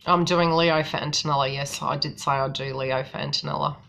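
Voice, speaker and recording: Low, bright-voiced Kiwi woman. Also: steady tone, fairly quick, creaky. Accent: New Zealand, softened by Australian. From New Zealand. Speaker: psychic tarot reader. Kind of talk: casual live-stream chat.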